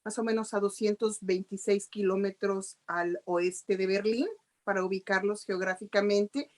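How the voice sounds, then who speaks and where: A woman's voice: medium pitch, fairly even intonation, moderate pace. A middle-aged Mexican woman with a Mexican Spanish accent, answering interview questions over a video call in a chatty, explanatory way. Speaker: guest journalist.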